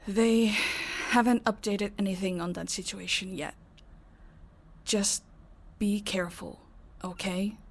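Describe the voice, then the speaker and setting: A woman playing a caring, calm vampire in an audio roleplay, speaking in a soft, gentle, concerned way. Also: medium pitch. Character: caring, calm female vampire who looks after her housemates.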